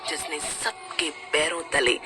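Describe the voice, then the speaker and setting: A woman narrating a scripted horror story in a suspenseful, theatrical way with deliberate phrasing. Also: low voice. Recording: music bed under the voice. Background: music.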